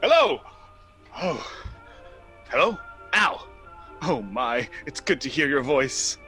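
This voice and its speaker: A man's voice: mid-range voice, sing-song, slow pace, music in the background. Frantic, wildly swooping nephew, played by a man. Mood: flustered. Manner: exasperated, stammering, wildly exclaiming. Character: frantic, appalled nephew discovering his aunts' crimes. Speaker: actor. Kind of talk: theatrical radio comedy acting.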